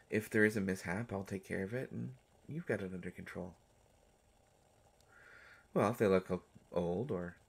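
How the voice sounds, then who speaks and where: A man plays a centuries-old male vampire doctor with a low-pitched voice. He is tender and a little mischievous, talking haltingly and teasingly to someone close. It is an intimate audio roleplay performance. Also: gravelly, exaggerated voice. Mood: teasing, affectionate. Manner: halting, teasing, soft-hearted speech.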